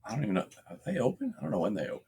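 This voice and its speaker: A man's voice: fairly high pitch, very fast, gravelly, breathy edge. A middle-aged man chatting casually as a podcast co-host, rambling and swearing freely as he tells stories. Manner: rambling, profane, animated storyteller. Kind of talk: casual chat with friends.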